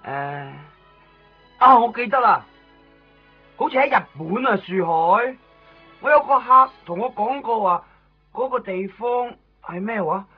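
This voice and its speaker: An actor playing an excitable, scatterbrained but helpful man in a Cantonese radio drama, stammering and repeating himself. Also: extremely high pitch, a little slow, pauses often. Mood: excited, puzzling. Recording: tinny, band-limited 1990s radio recording, faint music.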